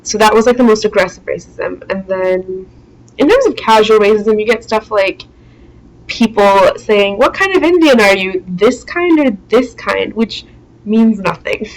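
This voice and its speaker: A woman's voice: mid-range voice, expressive, a little slow, low-quality recording. A young woman from the United States, the daughter of immigrants, speaking candidly with frequent fillers in an interview. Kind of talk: documentary interview.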